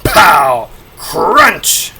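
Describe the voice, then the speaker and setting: A man's voice: fairly high pitch, sing-song, very slow, gravelly, pushed and bright, breathy edge, exaggerated voice, low-quality recording. A man playing an over-dramatic, hammy reader of comic-book sound effects in explosive exclamations. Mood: bombastic, explosive.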